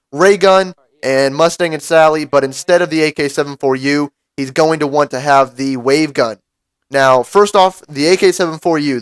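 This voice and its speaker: A young man with a raspy voice from a cold, giving casual strategy commentary over gameplay to his viewers. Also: fairly high pitch, no echo. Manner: casual, explanatory, addresses viewers as guys. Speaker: gaming YouTuber.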